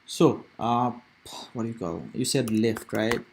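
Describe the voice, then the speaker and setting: A man's voice: medium pitch, a little slow, darkish tone, a little hiss or hum. A young man from Nepal, giving casual live commentary on stock charts and rambling aloud with many fillers and restarts. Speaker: stock trading streamer.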